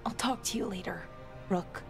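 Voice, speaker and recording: A woman playing an earnest, conflicted young elf scholar in a video game, speaking in a thoughtful, halting way. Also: fairly low pitch, over music, lightly acted. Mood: sad, resigned. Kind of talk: dramatic video game dialogue.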